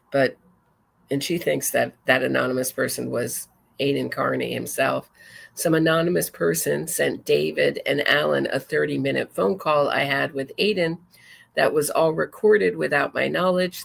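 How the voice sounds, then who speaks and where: Woman podcaster with a heavily creaky voice. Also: a bass voice, low-quality recording. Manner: digressive, self-correcting as she goes. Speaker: podcast host. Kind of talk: casual true crime commentary, reading messages aloud.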